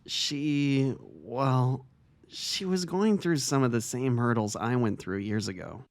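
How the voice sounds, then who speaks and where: A man's voice: mid-range voice, expressive, takes it slowly, no room sound, clean studio sound. A man playing an earnest, kindly vice-principal and devoted brother in an audio drama, with a sincere delivery. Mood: thoughtful. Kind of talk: audio drama reading of fan fiction.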